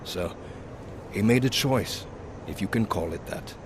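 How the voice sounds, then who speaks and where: A wry, weary pilot. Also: male voice, expressive, unhurried, background noise. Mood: bitter. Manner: sardonic, dry, drops low at line ends. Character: weary middle-aged male pilot, wry and bitter. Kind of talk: video game cutscene dialogue.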